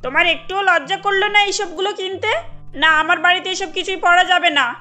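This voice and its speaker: Lady acting a bossy old-fashioned cartoon mother in law, super high sharp voice, naggy. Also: fairly quick, faint music behind. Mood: scolding, indignant. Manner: sharp, nagging, indignant questioning.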